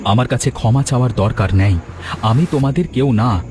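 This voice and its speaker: A man's voice: medium pitch, a little fast, background noise, close to an everyday voice. A man voicing a righteous, caring son-in-law in a cartoon drama, with an emphatic, moralising delivery. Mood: admonishing. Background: prominent music.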